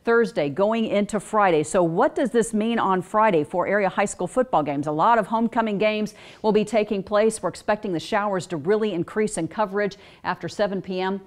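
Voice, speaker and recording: A woman's voice: medium pitch, brisk. An American woman presenting a local TV weather forecast in an ad-libbed, explanatory way.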